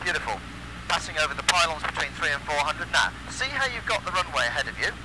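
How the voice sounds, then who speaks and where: A British man instructing a student pilot in a calm, encouraging, step-by-step way through a headset in a light aircraft. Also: fairly high pitch, fast. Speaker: flight instructor. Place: small light aircraft cockpit in flight. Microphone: aviation headset. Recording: loud engine noise over aircraft intercom. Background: constant loud aircraft engine drone.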